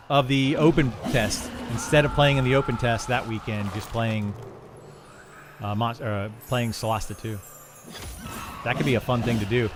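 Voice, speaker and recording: A middle-aged man, a video game streamer, talking casually and a bit ramblingly as he answers viewers on a livestream. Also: medium pitch. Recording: clear background noise and ambience.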